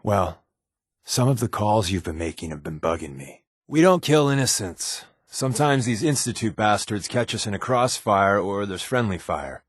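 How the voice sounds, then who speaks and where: An actor playing a wry, guarded undercover resistance agent in a video game, conversational and confiding, with a slightly husky voice. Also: male voice, on the low side, lively intonation, moderate pace, no room sound, clean studio sound. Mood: stern, disapproving. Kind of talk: naturalistic video game companion dialogue.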